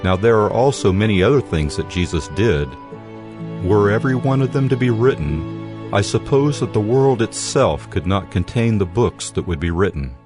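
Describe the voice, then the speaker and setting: A male Bible narrator with a low voice reads scripture steadily and solemnly. Music plays clearly under the narration. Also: expressive, normal speed.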